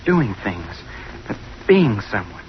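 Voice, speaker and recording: An actor playing an earnest, awkward, ambitious young chemistry student in an old-time radio drama, hesitant and over-polite. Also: male voice, fairly low pitch, sing-song, slow pace. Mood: earnest, dreamy. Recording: muffled, noisy old radio recording.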